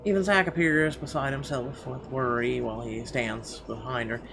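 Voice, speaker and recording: A man's voice: mid-range voice, fairly even intonation, moderate pace, gravelly, noisy background. A man reading horror visual novel narration aloud in a theatrical, suspenseful way with emphatic phrasing. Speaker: gaming video host. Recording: music behind the voice. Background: game music.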